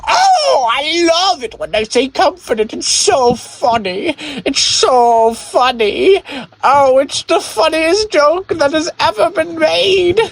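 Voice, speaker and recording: A man voicing an overexcited, gushing male podcast fan in a squealing, shouted falsetto, drawing out his words. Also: big pitch swings, vocal fry, heavily acted, rough recording. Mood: ecstatic. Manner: gushing, drawn-out repetition.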